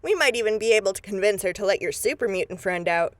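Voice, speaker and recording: A woman's voice: mid-range voice, animated, fast talker, no echo, natural voice. A woman playing a businesslike, take-charge deputy investigator in a radio drama, plain-spoken and matter-of-fact. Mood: focused, deducing. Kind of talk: scripted radio drama acting.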